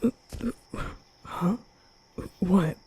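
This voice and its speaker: A man playing a nervous, kind-hearted young vampire in an ASMR roleplay, speaking softly and often whispering, with hesitant, flustered stammering. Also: extremely slow. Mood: awkward, apologetic, then flustered, incredulous. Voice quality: soft, breathy, often whispering.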